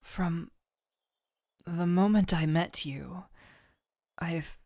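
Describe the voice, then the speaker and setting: A woman playing a gentle, teasing doctor in an intimate fantasy audio roleplay, speaking softly and affectionately, close to the microphone. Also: on the low side, very slow, no room sound, exaggerated voice. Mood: tender, shy. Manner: soft, breathy near-whispers, affectionate teasing.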